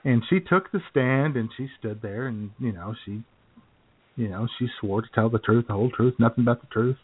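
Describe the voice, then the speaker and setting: An American man co-hosting a radio show, telling casual, joking stories in a halting way, often clearing his throat. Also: medium pitch. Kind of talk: casual comic chat, telling anecdotes. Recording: phone-like, narrow-band sound.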